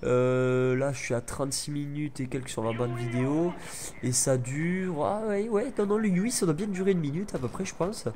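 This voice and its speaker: A man's voice: fairly high pitch, moderate pace, a little mellow. Young guy, head cold, rambling gameplay commentary with faint noise under it. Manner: rambling, chatty, many restarts and fillers. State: stuffy head cold, sniffling. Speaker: gaming YouTuber.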